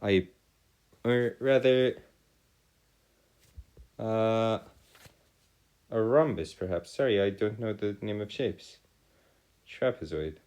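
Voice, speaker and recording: A man tutoring a math and physics lesson in formal, old-fashioned wording, often halting and correcting himself. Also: on the low side, darkish tone.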